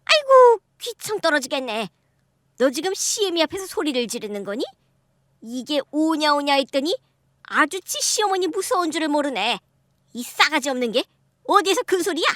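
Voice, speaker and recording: A woman's voice: high-pitched, lively intonation, moderate pace, fairly bright tone, no room sound, clean studio sound, pitched above the performer's own voice. A woman voicing a harsh, contemptuous middle-aged mother-in-law who scolds shrilly. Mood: indignant.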